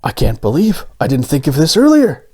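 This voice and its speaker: A man voicing a mild, kindly, hesitant grown man in a storybook, with gentle, drawn-out phrasing. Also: on the high side, wildly swooping pitch, husky, exaggerated voice. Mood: excited.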